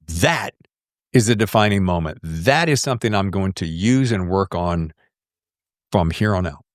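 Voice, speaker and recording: A man from the US South hosting a podcast in casual interview chat, animated and rambling with folksy slang. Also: lowish voice, no room sound, studio quality. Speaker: podcast host.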